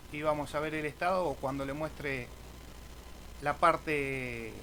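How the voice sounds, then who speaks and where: A man's voice: a little high, fairly bright tone, a little hiss or hum, rough recording. A man with an Argentine Spanish accent explaining things informally as a video host, hesitating and adding little checking tags. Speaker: amateur radio operator and video host. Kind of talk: informal screen tutorial.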